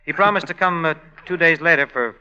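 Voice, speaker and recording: An actor playing a lovestruck male innkeeper and crooner, talking in a fast, relaxed way in a 1940s radio drama. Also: on the high side. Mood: breezy. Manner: relaxed, fast conversational storytelling. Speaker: lead actor. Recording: dull lo-fi 1940s radio recording. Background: orchestra music.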